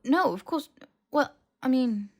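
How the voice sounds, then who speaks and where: A woman voicing an awkward, evasive young male wizard in audiobook dialogue, halting and hedging as they go. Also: sits high, lively intonation, pitched above the performer's own voice. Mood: cagey, casual. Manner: halting, hedging, stumbling over phrases.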